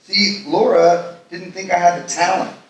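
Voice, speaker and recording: A man's voice: high voice, little pitch movement, unhurried. A man acting a smitten, self-absorbed man in his thirties at a live table read, earnest and gushing. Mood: excited. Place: live festival stage reading in a large room. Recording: echoey hall, distant mic, noisy.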